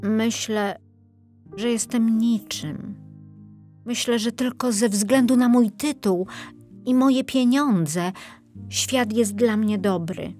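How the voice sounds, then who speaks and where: A woman's voice: a little high, takes it slowly, warm tone, soft background music, pitched above the performer's own voice. A woman voicing a small, proud, earnest young prince in a children's storybook. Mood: sad. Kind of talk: children's storybook character acting.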